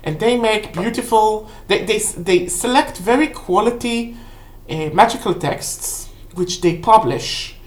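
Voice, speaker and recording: A woman, a native Hebrew speaker, casually rambling through a book review for a vlog in a halting, self-correcting way. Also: mid-range voice. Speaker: book haul vlog host. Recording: roomy, echoey indoor sound.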